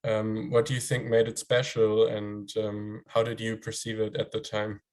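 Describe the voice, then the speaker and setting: A man introducing and hosting an interview series, speaking in a measured, friendly way with some fillers. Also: on the low side, monotone, a little mellow.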